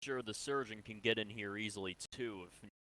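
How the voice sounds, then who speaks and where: A man playing a calm military onlooker, chatting casually in an online sci-fi roleplay over voice chat. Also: on the low side, moderate pace.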